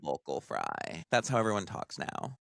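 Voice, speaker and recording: A man hosting a podcast while sick, with a raspy voice. He rambles and apologizes in a casual, self-deprecating way over a video call. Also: sits low, huge pitch swings, normal speed, a bit bright. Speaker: podcast host. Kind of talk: casual chat.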